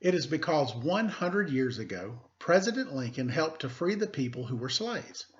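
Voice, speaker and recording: A man speaking as an elementary teacher, reading aloud to pupils with deliberate emphasis and informal asides. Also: on the high side, sing-song, highs cut off. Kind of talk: read-aloud lesson with casual asides.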